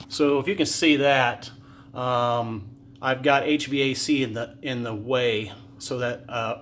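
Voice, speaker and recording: Laid-back installer, rambling. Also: male voice, medium pitch, slight background noise. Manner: relaxed, rambling, lots of fillers. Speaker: home theater installer. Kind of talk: casual on-site video demo. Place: unfinished basement of a house.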